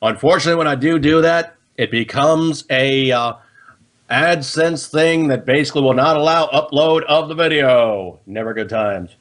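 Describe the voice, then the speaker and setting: A man's voice: a little high, moderate pace, fairly bright tone. An American man hosting a casual, unscripted show, explaining things to viewers in a folksy, slangy, chatty way and often checking in as he goes. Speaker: host of a hobby gun and gadget show.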